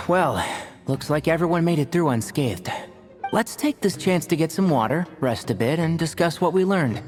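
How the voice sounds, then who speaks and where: A woman's voice: sits low, sing-song, normal speed, darkish tone, in a large hall. A woman voicing a friendly, organized young video game character with a casual, take-charge manner. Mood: relieved. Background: faint game music.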